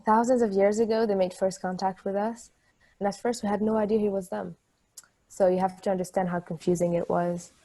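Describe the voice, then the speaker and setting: Kind, patient woman, actress at a table read: soft mellow tone, conversational, reassuring, gently encouraging. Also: medium pitch, close to an everyday voice, low-quality recording.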